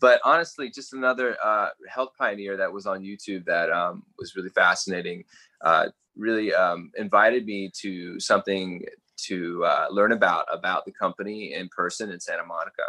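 Bright-voiced playful Australian man. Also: sits low, normal speed. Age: 20s. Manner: playful, laughing, loose and rambling. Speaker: guest. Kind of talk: casual podcast chat.

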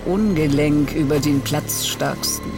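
A man reading dramatic, suspenseful horror narration for an audio drama in a measured way. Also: on the high side, over music.

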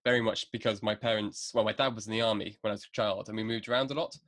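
A man's voice: on the low side, steady tone, fast talker, fairly bright tone. A man from Manchester with a neutral southern English accent, hosting a podcast in a playful, joking way. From Manchester, north of England. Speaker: podcast host. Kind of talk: casual chat.

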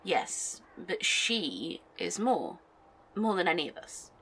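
Cult devotee for audio drama: low female voice, animated, archaic phrasing, curt and cryptic. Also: takes it slowly, slight background noise, full character voice, rough recording. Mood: brisk, dismissive. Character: devout, restless female cult member, dismissive and cryptic.

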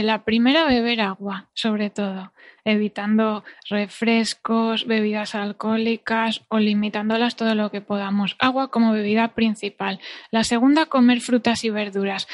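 A woman's voice: on the high side, normal speed. A woman with an accent from Spain explaining things as an expert podcast guest in a didactic, emphatic, conversational way. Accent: Spanish from Spain. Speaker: dietitian-nutritionist, podcast guest.

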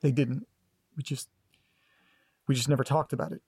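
A man's voice: mid-range voice, steady tone, normal speed, warm tone, slightly rough, no room sound, natural voice. A man acting in an audio drama as a guarded, sarcastic grandson who is detached from family trauma, speaking in a dry, hesitant, clipped way.

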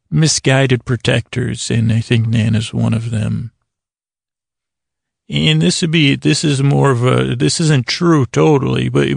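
Very creaky, rambling American man. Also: mid-range voice, no room sound, studio quality. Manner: meandering, halting, trails off mid-thought. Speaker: podcast host. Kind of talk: rambling comedic bedtime monologue.

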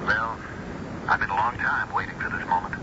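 An actor with an American accent playing a devoted, chivalrous and persistent office suitor in an old-time radio drama. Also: male voice, fairly low pitch, fairly quick, natural voice. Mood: pleading, tender. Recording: dull, very noisy old radio broadcast.

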